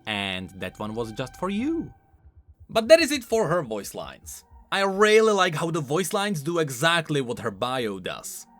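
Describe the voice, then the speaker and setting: A man hosting a game lore video essay, explaining in an expressive, informal and emphatic way, scripted but with casual asides. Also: fairly high pitch, a little slow. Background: background music.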